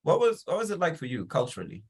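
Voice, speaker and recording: A man's voice: fairly high pitch, wildly swooping pitch, fast talker, a little mellow, slightly rough. A man conducting a conversational interview, encouraging and appreciative, with long, wordy questions.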